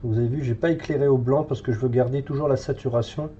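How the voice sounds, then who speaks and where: A man's voice: medium pitch, fairly even intonation, fast talker, mellow, muffled recording, a little hiss or hum. A French man with a cold, thinking aloud with many fillers as he paints live in a hobby tutorial. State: has a cold, congested.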